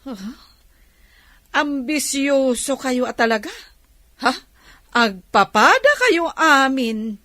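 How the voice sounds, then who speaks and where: Scornful mooching village auntie played by a woman, Ilocano accent, high swooping voice, exclamatory radio comedy delivery. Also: lively intonation, slow, long pauses, bright, forceful tone, no echo, full character voice. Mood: mocking. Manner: mocking, wheedling, exclamatory. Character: nosy, scornful auntie who mooches money from relatives.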